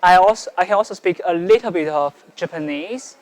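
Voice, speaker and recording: Man, halting. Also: sits high. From China. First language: Chinese. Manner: hesitant, friendly, self-correcting. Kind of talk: interview answer.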